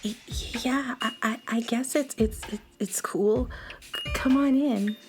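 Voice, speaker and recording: A woman's voice: fairly high pitch, a little slow, natural voice. A woman playing a shy, gloomy but kindly young alien schoolgirl in a children's audio drama, stammering and repeating her words. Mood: shy, nervous. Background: music.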